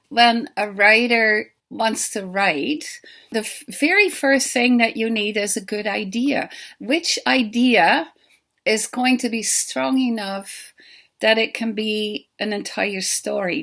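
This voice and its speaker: An older woman with a Dutch accent, a children's book author giving an earnest, unhurried pre-recorded talk. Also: medium pitch, creaky, fairly bright tone. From Netherlands.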